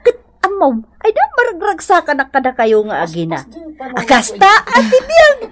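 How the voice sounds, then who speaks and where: An actress playing an emotional, plaintive village woman in an Ilocano radio drama, with drawn-out exclamations and an Ilocano accent. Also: sits high, huge pitch swings. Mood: plaintive, forgiving.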